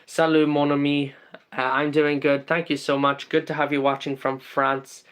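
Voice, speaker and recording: A man's voice: fairly high pitch, flat delivery, moderate pace, fairly bright tone, clean tone. Chatty male streamer greeting viewers. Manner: many ums and uhs, rambling. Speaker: live stream host. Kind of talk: casual live stream chat.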